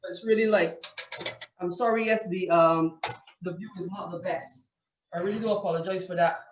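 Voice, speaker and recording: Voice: mid-range voice, fairly even intonation, normal speed. Cook demoing on a call, step-by-step talker, far from the mic, roomy echo, bit of faint noise. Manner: explains step by step with many "right?" checks. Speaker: chef cooking live. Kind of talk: cooking demonstration on a video call. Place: home kitchen. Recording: distant echoey room with faint noise.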